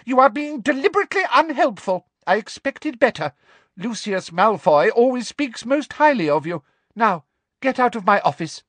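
A man voicing a furious middle-aged witch headmistress in a high, sweetly girlish voice that rises to shrieks. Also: big pitch swings, measured pace, fairly bright tone, dry recording, exaggerated voice. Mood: shrill fury.

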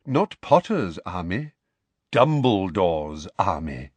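A man voicing an old headmaster wizard from a storybook, calm, pleasant and politely wry. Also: sits low, takes it slowly, breathy edge, dry recording, full character voice. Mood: pleasant, cheerful. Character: old male headmaster wizard, serene, courteous, quietly amused.

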